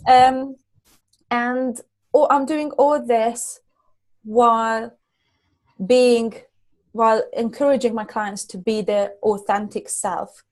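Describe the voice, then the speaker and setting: A middle-aged woman from Hungary with a Hungarian accent, talking earnestly as a guest business coach, halting and restarting often. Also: a little high, darkish tone. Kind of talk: casual interview chat.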